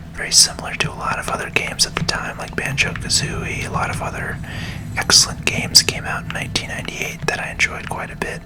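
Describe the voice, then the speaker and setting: A person whispering calm ASMR commentary over a video game, close to the microphone. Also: rough recording. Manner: slow, calming.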